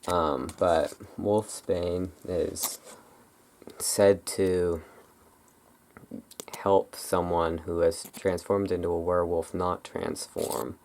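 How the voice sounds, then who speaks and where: Very low, slow, gravelly ASMR voice. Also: fairly even intonation, a little mellow, a little hiss or hum. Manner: halting, rambling, self-interrupting. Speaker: ASMR video creator. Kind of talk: ASMR, unscripted casual chat.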